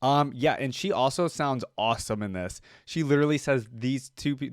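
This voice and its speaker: A man with a mid-pitched voice gives casual reaction commentary in a dry recording. He rambles as he thinks aloud, often tagging his thoughts with a question. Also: moderate pace, dry recording. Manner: rambling, thinking aloud, seeks agreement with "right?". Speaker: reaction video host.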